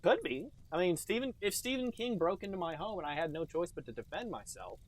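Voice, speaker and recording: Male podcast host, slightly high voice, sarcastic and hammy, casual comic chat. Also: sing-song, fairly quick, poor audio. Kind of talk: casual comedic banter.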